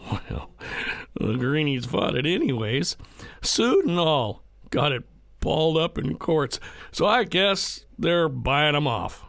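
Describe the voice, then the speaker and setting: A man's voice: fairly high pitch, very animated, slow pace, creaky, fairly bright tone, no echo, clean studio sound, heavily acted. A man playing a brash, hard-drinking ranch owner in an audio drama, blustery, folksy and defiant. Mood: matter-of-fact, blustery. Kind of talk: full-cast audio drama acting.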